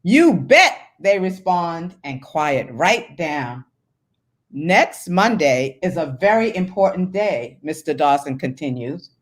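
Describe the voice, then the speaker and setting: A woman's voice: sits low, very animated, takes it slowly. Woman author talking and reading. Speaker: children's book author, guest. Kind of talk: casual interview talk, then storybook reading.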